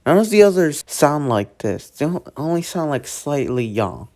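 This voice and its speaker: A man's voice: on the high side, very animated, moderate pace, darkish tone. A young man giving casual commentary on a movie trailer, rambling with many "like" fillers and restarts. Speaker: trailer reaction vlogger.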